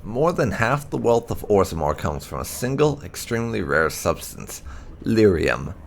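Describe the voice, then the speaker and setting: A man reading game lore aloud in a measured, formal narration style. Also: lowish voice, very animated, gravelly, slight background noise. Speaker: gaming channel host reading lore entries. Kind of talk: read-aloud narration of fantasy game codex.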